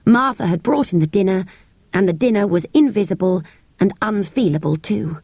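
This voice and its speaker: A woman with a British accent narrates a children's story in an expressive, measured storytelling manner. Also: medium pitch, creaky, no echo. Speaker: audiobook narrator. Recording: close, dry cassette tape recording.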